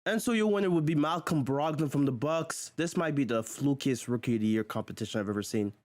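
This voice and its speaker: A man's voice: medium pitch, fast talker, darkish tone, no room sound, studio quality. A man hosting a casual sports commentary video, chatty and exclaiming, often chuckling. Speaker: video host.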